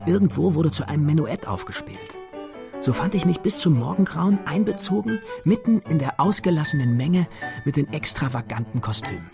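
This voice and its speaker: An actor playing an adventurous young schoolboy hero, narrating his memories in a measured, literary radio drama style. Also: on the high side, very animated, vocal fry. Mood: reflective. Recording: studio radio drama with music behind. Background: music.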